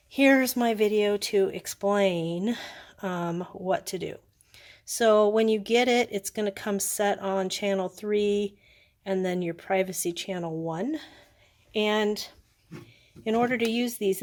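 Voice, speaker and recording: A woman giving a casual, unscripted product demonstration, explaining things step by step in a conversational way. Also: mid-range voice. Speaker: product reviewer. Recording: clearly noisy recording with clicks.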